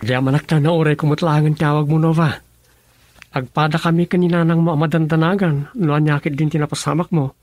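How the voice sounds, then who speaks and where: A man's voice: on the high side, fairly even intonation, fairly quick, a little mellow, close to an everyday voice. A man acting a lovelorn, earnest young sweetheart in a radio drama. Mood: longing.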